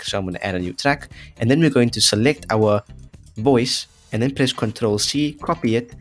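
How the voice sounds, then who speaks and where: A man hosting an audio effects tutorial in a casual, chatty, jokey way, talking informally to viewers. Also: on the low side. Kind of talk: casual scripted tutorial narration.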